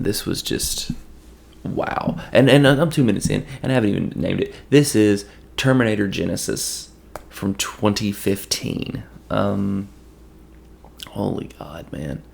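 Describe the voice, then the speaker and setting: A man whose voice is hoarse and gravelly, with a lot of fry in it, sitting low in pitch. He reviews a film in loose, rambling casual talk, often stopping and starting over mid-sentence. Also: darkish tone. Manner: rambling, with frequent restarts. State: hoarse from allergies. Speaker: podcast host.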